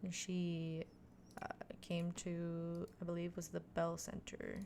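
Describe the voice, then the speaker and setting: Chatty woman streamer, lowish voice. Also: completely flat delivery, slow, vocal fry, dark tone, slight background noise. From Montreal, Quebec, Canada. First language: French. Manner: chatty, thinking aloud, self-deprecating asides. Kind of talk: casual chat while gaming.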